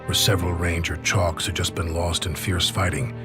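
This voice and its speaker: A man playing a weary soldier who recalls past battles in a somber, measured scripted game narration. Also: low-pitched, level tone, loud music behind the voice, natural voice. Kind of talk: scripted war story narration.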